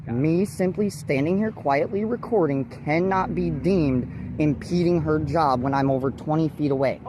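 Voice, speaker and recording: A woman's voice: low voice, normal speed. A woman auditor filming police at a roadside traffic stop on a handheld phone, lecturing officers about her rights in a defiant voice. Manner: defiant, lecturing, with forced laughs. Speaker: first amendment auditor. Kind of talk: confrontational street recording. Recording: faint outdoor traffic noise.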